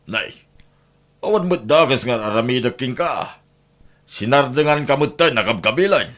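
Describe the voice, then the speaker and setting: An actor playing a curt, domineering, unfaithful husband in a radio drama. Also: male voice, mid-range voice, animated, normal speed, fairly bright tone, natural voice.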